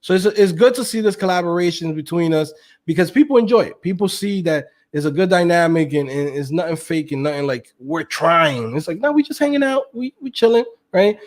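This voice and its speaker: A man's voice: a little high, animated, a little fast. A man talking as a guest on a casual video chat with another creator, hyped and slangy, laughing often. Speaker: guest, coding course creator.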